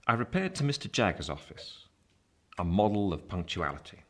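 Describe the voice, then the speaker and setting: An actor playing a grown gentleman looking back on his life, narrating a radio play in a measured, reflective way. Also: deep voice, sing-song, exaggerated voice. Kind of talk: scripted first-person narration.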